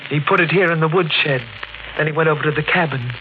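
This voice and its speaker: Secretive nurse played by an actress, muffled old radio. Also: female voice, low voice, quick pace, close to an everyday voice. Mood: urgent. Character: secretive, insistent female nurse. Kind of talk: 1940s radio thriller acting. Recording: muffled, noisy 1940s radio recording.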